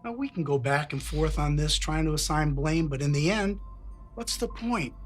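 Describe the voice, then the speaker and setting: An actor playing a cold, businesslike, unsympathetic landlord in a film drama. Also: male voice, on the high side, animated, moderate pace, soft background music, lightly acted.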